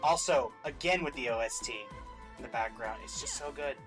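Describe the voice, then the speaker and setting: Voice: medium pitch, a little fast, music in the background. A host giving casual, opinionated fan commentary in a reaction show.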